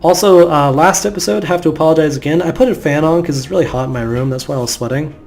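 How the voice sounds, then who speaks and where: A man's voice: a little high, fast, creaky, a little mellow, soft background music. A man giving casual, rambling commentary over a video game playthrough in an offhand way. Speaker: gamer narrating a playthrough. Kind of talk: casual let's play commentary.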